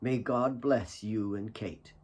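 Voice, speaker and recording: A man voicing a gentle, earnest priest in an audiobook, solemn and deliberate as he consoles mourners. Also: fairly low pitch, fairly even intonation. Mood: soft, consoling.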